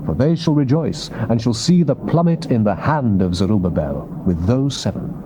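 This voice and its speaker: A man's voice: medium pitch, animated, moderate pace. British man declaiming scripture over tape noise. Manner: solemn, declamatory, theatrical. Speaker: Bible narrator. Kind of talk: dramatized scripture reading. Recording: noisy old cassette tape recording.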